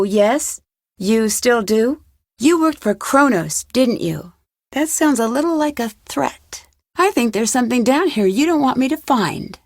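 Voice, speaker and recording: A curious young woman detective from a video game. Her voice sits a little high and is recorded very dry. She is polite and articulate, always probing with questions. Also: dry recording.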